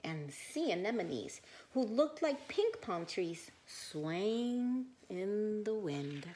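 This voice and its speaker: A woman teacher reading a children's storybook aloud, expressive and questioning, pausing to think out loud. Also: fairly high pitch.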